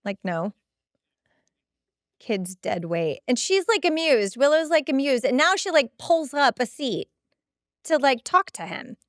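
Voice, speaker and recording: A woman hosting a casual TV rewatch podcast, talking in an animated, conversational way with incredulous asides. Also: high voice, measured pace, long pauses, no echo, clean studio sound. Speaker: podcast host.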